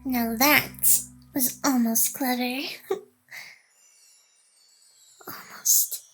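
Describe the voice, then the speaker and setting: A woman's voice: high voice, fairly even intonation, drawn-out words, a bit bright, soft background music, full character voice. A woman playing a playful AI hacker girl in an intimate ASMR roleplay, teasing and mock-aloof while secretly smitten and protective of the listener. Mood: dry, smug teasing. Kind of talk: ASMR roleplay, intimate one-sided scripted drama.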